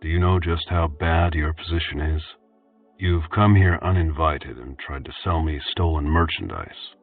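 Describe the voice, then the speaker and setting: A man voicing a stern, coldly determined hero of a fantasy story, measured and commanding as he questions. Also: a bass voice, far from the mic, phone-quality sound, soft background music. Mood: coldly persuasive.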